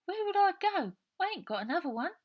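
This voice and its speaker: A woman's voice: very high-pitched, sing-song, fairly quick, voice pushed up. A woman voicing a scrawny, bossy young orphan girl in a storybook, streetwise and urgent. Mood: proud, firm. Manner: urgent, streetwise, nonstandard grammar.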